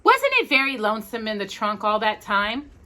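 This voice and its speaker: A woman's voice: on the high side, very animated, normal speed, pushed and bright. A woman voicing friendly, welcoming little toy dolls in a storybook, sweet and happy. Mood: curious.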